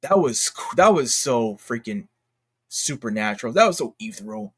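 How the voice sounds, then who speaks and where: A man giving unscripted commentary as a YouTuber, talking in a halting, slangy, exclamatory way and often interrupting himself. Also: mid-range voice, big pitch swings, husky. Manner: rambling, halting, self-interrupting. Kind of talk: unscripted casual commentary rant.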